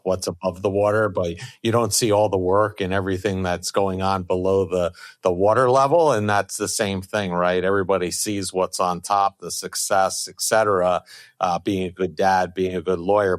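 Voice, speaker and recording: A man's voice: deep voice, no echo, clean studio sound. A man hosting a conversational interview, wordy and circling back to rephrase his questions. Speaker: podcast host.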